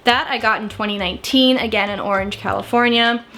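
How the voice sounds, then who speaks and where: A woman's voice: mid-range voice, steady tone, fairly quick, fairly bright tone, a little hiss or hum. An American woman in her twenties chatting casually to camera, rambling and often correcting herself. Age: 20s. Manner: chatty, rambling, frequent self-corrections and small laughs. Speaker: vlogger.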